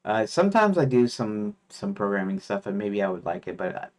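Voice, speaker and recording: A man chatting casually while streaming his game development, rambling with lots of fillers and restarts, sometimes reading lists aloud in a flat mutter. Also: fairly low pitch, fairly quick.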